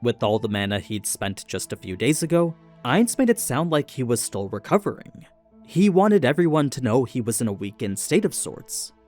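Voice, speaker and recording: A man's voice: medium pitch, darkish tone. A man reading a scripted story recap in an explanatory storytelling manner, stressing the turns in the tale. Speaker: narrator of anime lore recaps. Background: background music.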